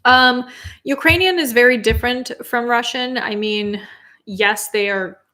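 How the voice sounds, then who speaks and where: Woman teaching English live. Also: on the high side. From Moldova. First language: Romanian. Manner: patient, drills sounds by repeating words slowly; relaxed and chatty with fillers. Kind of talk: live-stream Q&A and pronunciation lesson.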